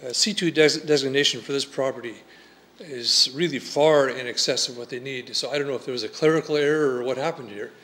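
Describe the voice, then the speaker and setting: Halting man objecting at a public hearing. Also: medium pitch, fairly bright tone. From Canada. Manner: halting, full of fillers and restarts, clears throat. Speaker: resident objecting at a council hearing. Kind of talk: unscripted public comment. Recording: faint noise, council chamber mic.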